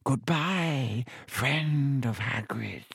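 A man voicing an ancient giant male spider in a storybook, speaking in a slow, solemn, formal way with grave menace. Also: medium pitch, creaky, fairly bright tone, breathy edge, no echo, studio quality, exaggerated voice.

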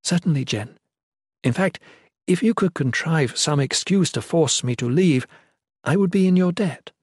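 A man's voice: fairly high pitch, expressive, normal speed, husky, no room sound, clean studio sound, voice pushed up. A man voicing a tender, dignified holy noblewoman with a formal, hesitant manner in a fantasy storybook. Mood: gracious. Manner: formal, soft-hearted, hesitant.

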